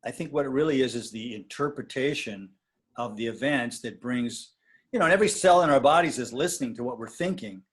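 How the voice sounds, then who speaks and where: A man talking reflectively in a casual conversation with a dating coach, pausing and restarting often. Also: mid-range voice, breathy edge. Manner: reflective, halting with many restarts and fillers.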